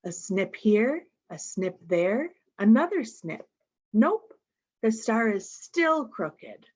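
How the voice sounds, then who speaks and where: A woman reading a picture book aloud to young children in an expressive way, pausing to explain the pictures. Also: a little high, slightly rough, lo-fi sound. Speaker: storytime reader.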